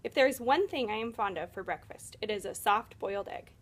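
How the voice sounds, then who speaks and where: A woman's voice: mid-range voice, animated, brisk, close to an everyday voice. Woman putting on a hearty, proper storybook father. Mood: hearty, appreciative.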